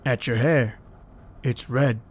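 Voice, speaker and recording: A cold, controlling killer on the run played by a male actor in a vintage radio thriller. His voice is mid-pitched, and he talks in terse, tough-guy phrases with quiet menace. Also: steady tone, takes it slowly, noisy background, lightly acted. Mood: casual. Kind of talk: old-time radio crime drama acting.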